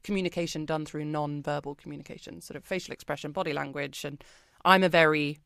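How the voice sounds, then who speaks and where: A British woman being interviewed on a podcast, talking in a chatty, expressive way with plenty of ums and likes. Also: deep voice, fast, creaky, clean tone, dry recording, studio quality. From Britain. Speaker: podcast guest. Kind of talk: casual interview chat.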